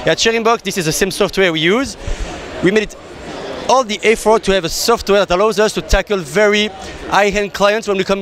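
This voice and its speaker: High-voiced Belgian man pitching eagerly. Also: fairly bright tone. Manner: eager, persuasive, slightly non-native phrasing. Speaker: exhibitor demonstrating a photo booth. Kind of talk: energetic product demo and sales pitch. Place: crowded trade show hall, indoors. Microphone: handheld mic. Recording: crowd chatter from a busy expo floor.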